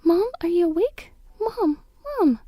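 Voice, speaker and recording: A woman's voice: very high-pitched, expressive, slow pace, warm tone, dry recording, studio quality, faint music behind, pitched above the performer's own voice, exaggerated voice. A woman voicing an earnest young schoolgirl in a storybook narration, anxious, caring and pleading with urgency. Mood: anxious relief.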